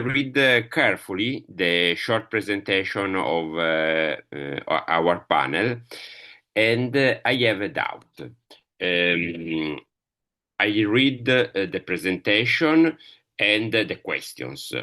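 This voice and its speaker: Slow, deep-voiced Italian man. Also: animated, sharp tone. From Italy. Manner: halting, many fillers, searching for words. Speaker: panelist. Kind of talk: panel talk.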